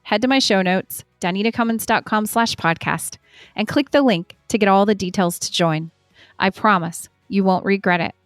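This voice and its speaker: A woman's voice: medium pitch, normal speed, dry recording, studio quality, faint music behind. A woman hosting a podcast, reading an ad and welcoming a guest in an upbeat, encouraging way. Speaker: podcast host. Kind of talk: scripted ad read and warm interview hosting.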